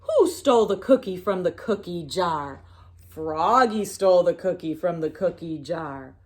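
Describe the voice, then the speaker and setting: Female read-aloud narrator for young kids: unhurried, highly animated intonation, sing-song picture-book delivery. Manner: animated, sing-song, pausing to prompt listeners.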